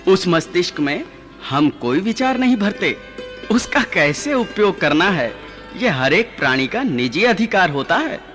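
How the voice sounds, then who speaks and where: An actor playing a solemn, patient male god who instructs with authority in a measured, declamatory radio drama style. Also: high voice, very animated, a bit bright, exaggerated voice. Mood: patient, instructive. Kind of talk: mythological radio drama acting. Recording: studio radio play with music behind.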